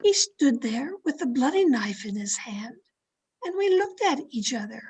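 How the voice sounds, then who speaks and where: A woman's voice: high-pitched, big pitch swings, normal speed, darkish tone, slightly rough, heavily acted. A woman playing a thrill-seeking young woman who narrates her murder tale with dramatic, breathless intensity in old radio suspense style. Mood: excited, suspenseful. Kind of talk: 1940s radio suspense acting, scripted first-person narration. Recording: video-call radio play with music underneath. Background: dramatic music.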